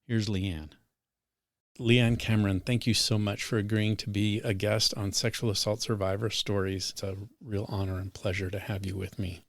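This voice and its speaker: A man's voice: on the low side, level tone, moderate pace, dry recording, studio quality. A man hosting a podcast interview, speaking in an earnest, formal and encouraging way. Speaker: podcast host.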